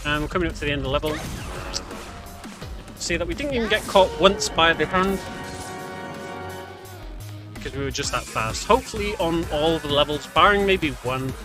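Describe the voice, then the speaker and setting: Higher-pitched man commentating over game music. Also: moderate pace, pauses often. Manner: explanatory, speaking as "we". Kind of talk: casual video game walkthrough commentary.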